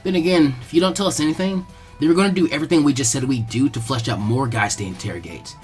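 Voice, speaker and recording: A man's voice: medium pitch, quick pace, a little mellow, soft background music, lightly acted. A man voicing a brash, cocky ninja boy with a taunting, tough manner in a story reading. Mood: threatening.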